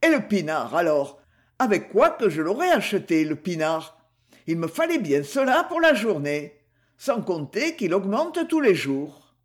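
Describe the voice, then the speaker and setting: A man's voice: high voice, very animated, measured pace. A man playing a shabby tramp with an alcohol-worn voice who rambles through slangy excuses. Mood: excusing. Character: shabby old male tramp, sly and easygoing.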